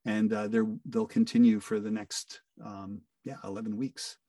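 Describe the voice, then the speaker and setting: An older man, a voice actor, chatting casually as an interview guest in a halting, appreciative way. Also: on the low side, level tone, warm tone, dry recording, clean studio sound, recorded from a distance.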